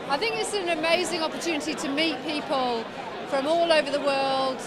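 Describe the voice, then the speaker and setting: A woman's voice: high-pitched, fairly quick, over a noisy crowd, very noisy. A woman who works for a charity, speaking enthusiastically in a vox pop interview. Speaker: charity worker.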